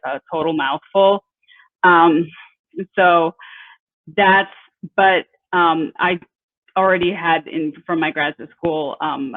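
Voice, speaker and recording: A woman in her thirties from Peru, an engineer giving an informal talk about her company, halting with many fillers and restarts. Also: deep voice, dry recording. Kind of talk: informal presentation. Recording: tinny phone-like audio, dry.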